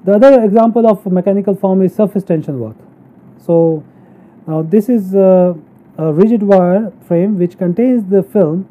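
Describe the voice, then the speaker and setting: A man giving a halting, step-by-step technical lecture as an engineering teacher, explaining material from slides. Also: high-pitched. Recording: narrow phone-like sound.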